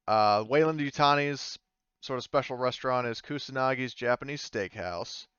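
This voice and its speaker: A man working as game master, narrating a tabletop game casually and haltingly as he thinks aloud. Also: mid-range voice, a bit bright, clean tone, no room sound, highs cut off.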